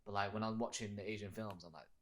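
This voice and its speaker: A man's voice: fairly low pitch, steady tone, fast talker. A man hosting a casual podcast chat, rambling and searching for words. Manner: rambling, many fillers and restarts, searches for words.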